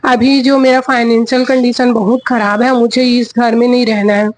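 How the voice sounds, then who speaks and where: A woman voicing a desperate man who is selling his house, speaking in a pleading, tearful way in a high voice. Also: little pitch movement, moderate pace, close to an everyday voice.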